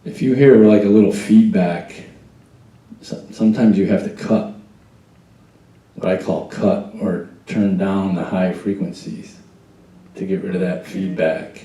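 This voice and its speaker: A man's voice: low voice, steady tone, measured pace, pauses often, warm tone, echoey room, distant mic, rough recording. A man giving patient, step-by-step hands-on training on a church mixing desk, testing a mic as he goes. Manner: patient, explains step by step, tests the mic with "check, one, two". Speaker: sound board trainer. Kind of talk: hands-on technical instruction. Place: church sound booth, indoors.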